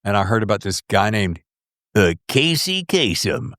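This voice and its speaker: A man's voice: lowish voice, very animated, normal speed, fairly bright tone, no room sound, studio quality. A middle-aged man hosting a podcast, chatting in an informal, jokey, salty-tongued way that wanders into tangents. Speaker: podcast host. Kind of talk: casual interview chat.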